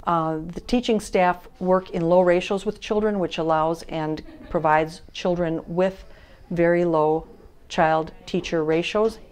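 Older woman speaking in a low, formal way. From Wisconsin, USA. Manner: measured, even, formal explaining. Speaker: child care services director. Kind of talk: on-camera interview statement. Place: classroom of a child care center, indoors.

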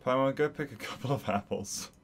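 A man's voice: mid-range voice, a little fast, very noisy. A young man giving casual game reaction commentary, earnest and enthusiastic, recorded close at a desk. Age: young adult. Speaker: gaming YouTuber.

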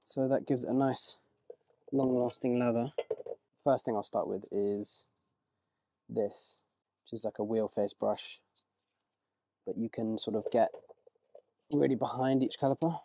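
A man of medium pitch talks through his car wash as he works outdoors, relaxed and a little rambling, often stopping to correct himself. The sound is dry and plain, and his voice drifts nearer and farther from the camera. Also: dry recording. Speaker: car rental host. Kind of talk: casual vlog narration while working.

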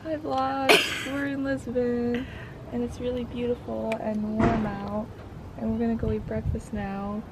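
Relaxed, soft-spoken sister, slightly high voice, heavy street noise around. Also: female voice, measured pace. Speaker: vlogger's sister. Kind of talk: casual chat. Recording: loud outdoor noise.

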